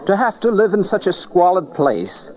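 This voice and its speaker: An actor playing an older, philosophical wise man in a Bible audio drama, measured and ironic. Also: high-pitched, big pitch swings, a little mellow. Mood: wry. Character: older wise magus, wry, philosophical, unimpressed by finery. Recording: dull cassette tape audio drama.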